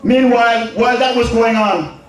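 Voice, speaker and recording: A man's voice: very high voice, fairly even intonation, moderate pace. A middle-aged man with an Anguillian accent giving an emphatic public speech, repeating key phrases for effect. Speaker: public speaker. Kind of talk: public address with personal reminiscence. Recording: very echoey, distant from the mic.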